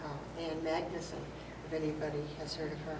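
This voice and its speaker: A woman's voice: sits low, completely flat delivery, fairly quick. A woman introducing herself at a club meeting in a chatty, storytelling way. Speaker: new member introducing herself. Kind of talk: casual self-introduction. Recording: echoey room, distant mic, noise.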